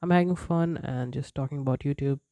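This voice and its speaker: A man's voice: medium pitch, brisk, dark tone, no room sound. A young man who speaks English as a non-native language, rambling in a casual vlog with lots of fillers and restarts and frequent chuckles. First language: not English. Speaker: YouTuber. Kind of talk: casual unscripted vlog chat.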